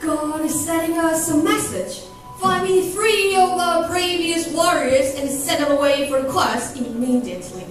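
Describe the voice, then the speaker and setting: A woman's voice: high voice, unhurried. A woman playing a theatrical character in a student radio drama. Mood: commanding. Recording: very echoey hall from far, loud music.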